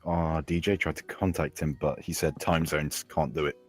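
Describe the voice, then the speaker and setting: A teenage male podcast host with a very low, slightly husky voice that sounds older than sixteen. He talks casually in a group chat, with faint music behind him. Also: level tone, moderate pace.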